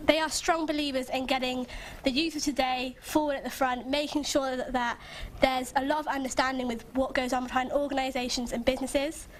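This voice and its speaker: A woman's voice: high voice, a little hiss or hum. A young woman running for student governor, confident and speaking in long sentences. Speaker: candidate.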